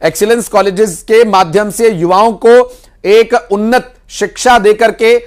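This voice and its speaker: A man's voice: high voice, steady tone, unhurried. A man presenting news, recapping and explaining government decisions in long, wordy sentences.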